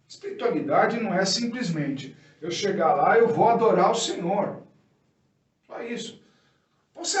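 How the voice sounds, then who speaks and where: High-voiced Brazilian man preaching, echoey room. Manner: didactic, colloquial, posing questions and answering them. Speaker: preacher giving an opening teaching. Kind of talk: informal extemporaneous sermon. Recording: roomy, echoey, distant microphone.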